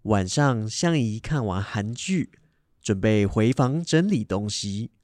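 A man's voice: lowish voice, lively intonation, takes it slowly, darkish tone, no room sound, clean studio sound. A young man with a Taiwanese accent reading scripted narration for a radio drama. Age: young adult.

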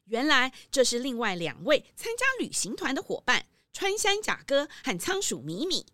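一位女性旁白，以講故事的口吻為兒童廣播劇唸稿敘述。. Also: female voice, sits high, wildly swooping pitch, normal speed, pushed and bright, no room sound, clean studio sound. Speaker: narrator. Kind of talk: scripted children's story narration.

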